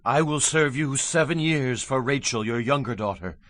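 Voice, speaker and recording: An actor playing an earnest, hot-blooded younger husband in a dramatized scripture reading, with intense, emphatic delivery. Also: male voice, medium pitch, normal speed, a bit bright, dry recording, natural voice.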